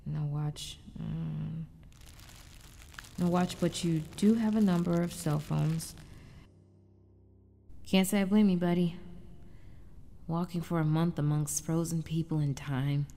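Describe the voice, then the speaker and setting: A woman's voice: deep voice, steady tone, slow pace, vocal fry, long pauses, mellow, full character voice. A woman playing an anguished but determined wife and mother in an audio drama, narrating in a confiding, reflective way. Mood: uneasy, focused. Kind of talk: first-person dramatic narration.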